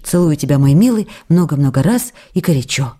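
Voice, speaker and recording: A woman's voice: low-pitched, lively intonation, a little fast, warm tone. An actress reading a passionate young actress's letters to her husband in a radio play, ardent and reproachful by turns. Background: music.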